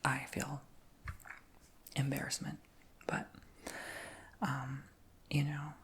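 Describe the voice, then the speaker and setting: A man whispering through a rambling ASMR storytime chat, his voice high-pitched for a man, with frequent ums and likes. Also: completely flat delivery, very slow, gravelly, fairly bright tone, slight background noise. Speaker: ASMR creator.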